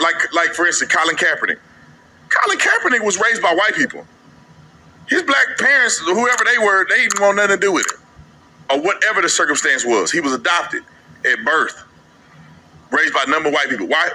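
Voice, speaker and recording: A man co-hosting a commentary show, talking in an animated, mocking way as he reacts to clips. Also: fairly high pitch, brisk, slight background noise, rough recording.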